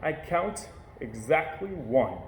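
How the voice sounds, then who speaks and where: A man's voice: a little high, very animated, slow, heavily acted. A man voicing a kindly, patient father with an easygoing, reassuring manner, recorded in an echoey room with faint hiss.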